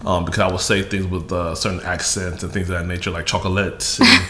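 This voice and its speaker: Lively man with a low voice. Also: a little fast. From Chicago, raised. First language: Yoruba. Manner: animated, joking, with many fillers. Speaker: podcast guest. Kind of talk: casual chat. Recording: echoey room with faint noise.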